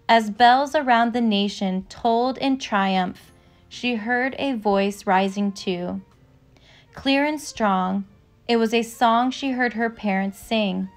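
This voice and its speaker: A woman reads a children's storybook aloud in a mid-range voice. She takes her time, phrasing each line slowly and deliberately. Music plays behind her narration. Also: mid-range voice. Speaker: narrator reading a picture book aloud.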